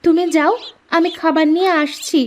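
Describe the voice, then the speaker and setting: Very high-voiced, meek little girl. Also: steady tone, moderate pace, a little hiss or hum. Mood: obedient. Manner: pleading, obedient. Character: meek, dutiful young stepdaughter, sweet and sorrowful. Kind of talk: cartoon voice acting. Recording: music behind the voice.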